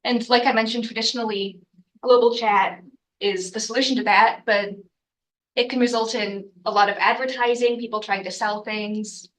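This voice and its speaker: A woman's voice: on the high side, steady tone, moderate pace, fairly bright tone, distant mic. Congested young woman presenting in echoey room. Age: young adult. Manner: earnest, with frequent ums and uhs. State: congested from a cold. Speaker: student presenter. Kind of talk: academic research presentation. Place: lecture room.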